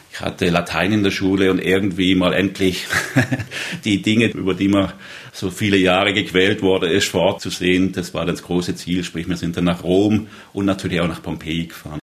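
A man in his fifties from southern Germany speaks in a low voice. He tells his memories conversationally, as someone being interviewed. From southern Baden, Germany. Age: 50s. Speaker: interviewee recalling rail travels. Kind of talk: conversational storytelling.

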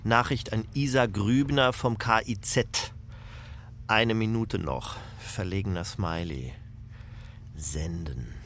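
A man's voice: fairly low pitch, takes it slowly, heavy background noise. An actor playing a harried, blunt middle-aged father and career man in a naturalistic radio drama. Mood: brisk, matter-of-fact.

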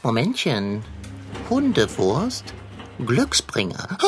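A woman's voice: a bass voice, huge pitch swings, slow, soft background music, full character voice. A woman voicing a prim, haughty tomcat. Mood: dreamy musing. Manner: prim, pompous, elaborate wording. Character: fussy, haughty male tomcat. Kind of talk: children's radio play acting.

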